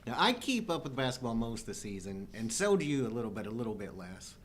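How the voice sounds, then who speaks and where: Lively middle-aged man chatting. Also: mid-range voice, fast talker. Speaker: co-host of a live show. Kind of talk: casual chat.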